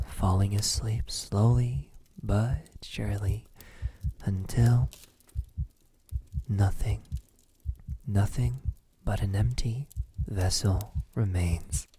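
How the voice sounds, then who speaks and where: A man playing a brooding vampire in an ASMR roleplay, speaking softly and intimately with a breathy, halting delivery. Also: on the low side, steady tone, a little hiss or hum. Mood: menacing, amused. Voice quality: soft, breathy, husky. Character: lonely, lovesick male vampire, menacing toward humans.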